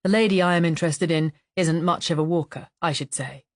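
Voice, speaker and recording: A woman voicing a courteous, guarded male police inspector in an audiobook, measured and casually polite. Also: low-pitched, no room sound, clean studio sound. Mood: assured, guarded.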